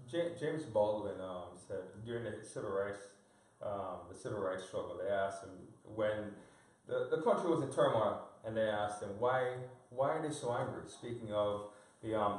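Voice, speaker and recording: A man's voice: on the low side, far from the mic. A man asks a thoughtful question on a live panel, stopping often to search for his words. He sits away from the microphone. The room adds a heavy echo. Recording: echoey room, off-mic.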